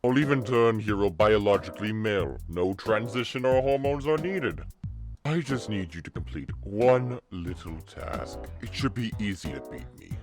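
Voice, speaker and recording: A man's voice: lowish voice, lively intonation, normal speed, lightly acted. A man playing a suave, amused devil who teases and condescends in a drawl for a story reading. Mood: smug, bargaining. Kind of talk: character acting in a fanfiction reading. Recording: music bed under the voice.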